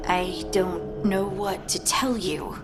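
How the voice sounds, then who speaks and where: A woman's voice: medium pitch, steady tone, takes it slowly, close to an everyday voice. A woman playing an earnest, determined young dwarf scout in a video game, speaking plainly. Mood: uneasy. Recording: music under the voice. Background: game music.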